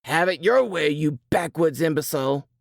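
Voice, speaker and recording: A woman's voice: low-pitched, expressive, normal speed, creaky, no room sound, studio quality. A woman voicing a belligerent, slurring male tavern manager who has been drinking, in a story reading. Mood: angry.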